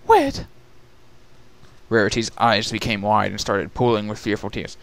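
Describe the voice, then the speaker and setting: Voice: mid-range voice, flat delivery, fairly quick, gravelly, fairly bright tone. A narrator giving a dramatic reading of a story, telling it in scripted prose.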